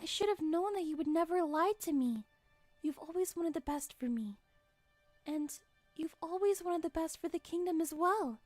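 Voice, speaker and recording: A woman playing a gentle, earnest young princess in an animated fan drama. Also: very high-pitched, normal speed. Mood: meek, pleading. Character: gentle, earnest young princess, soft-spoken yet brave. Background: music.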